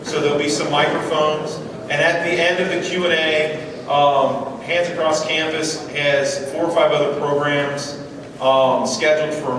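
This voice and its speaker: A man making relaxed program announcements at a school assembly, with frequent fillers. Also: on the high side, slight background noise. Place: large school hall. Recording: echoey hall from far off.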